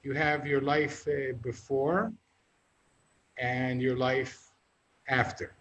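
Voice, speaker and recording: A man's voice: medium pitch, flat delivery, slow pace, fairly bright tone, poor audio. A man hosting a casual video call interview, informal and joking with his guest. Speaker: host.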